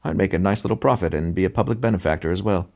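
A man's voice: low voice, racing, darkish tone. A man voicing a shrewd, scheming passenger who talks in a confiding, businesslike way in an audiobook. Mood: scheming, eager. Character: shrewd, scheming, furtive intelligent male passenger.

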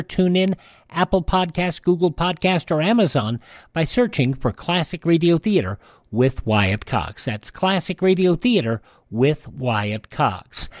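A man's voice: fairly high pitch, no room sound, studio quality. A man hosting a radio show of vintage programs, talking conversationally to listeners.

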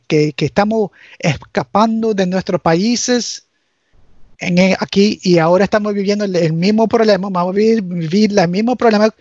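A man from Nicaragua whose first language is English, speaking Spanish haltingly as a guest and reaching for English words. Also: high voice, darkish tone, no room sound, highs cut off. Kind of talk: casual chat.

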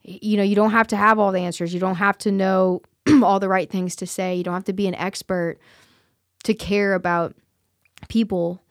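Smooth-voiced woman talking candidly. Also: mid-range voice, little pitch movement, moderate pace, no room sound, studio quality. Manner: earnest, candid, many fillers and restarts. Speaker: singer, podcast guest. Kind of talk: casual interview chat.

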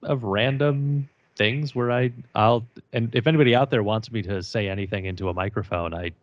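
A man with a low voice, a voiceover artist chatting as a podcast guest in an easygoing, joking way. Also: a little fast, no echo, highs cut off. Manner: easygoing, joking, chuckles often. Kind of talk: casual podcast chat.

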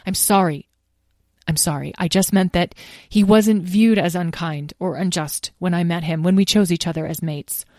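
A woman voicing a patient, weary mother dragon who explains calmly to her children. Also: on the low side, moderate pace, no room sound, clean studio sound, lightly acted. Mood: pleading. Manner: calm, explaining, gentle scolding.

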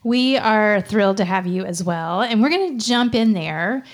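A woman's voice: mid-range voice, lively intonation, normal speed. A woman co-hosting a podcast who asks her guest questions in an encouraging way, with small fillers. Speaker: podcast co-host. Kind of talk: interview questions.

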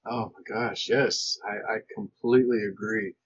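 A man's voice: lowish voice, fairly even intonation, normal speed, husky, no room sound, dull audio. A man hosting a podcast, chatting casually and agreeably about writing as a fellow writer.

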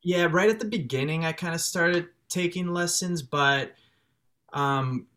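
A man's voice: on the high side, measured pace. A young man with a Chicago accent who grew up speaking French, a musician chatting casually as a guest over a video call, laughing often. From Chicago, raised in France till six. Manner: laughs often, restarts and fillers, relaxed.

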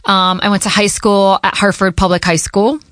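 A woman's voice: medium pitch, flat delivery, moderate pace, fairly bright tone, dry recording, studio quality. A woman in her thirties from Connecticut, a radio host whose first language is Portuguese, chatting casually with listeners and answering their questions, with a loose manner and laughs between thoughts. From Connecticut, USA.

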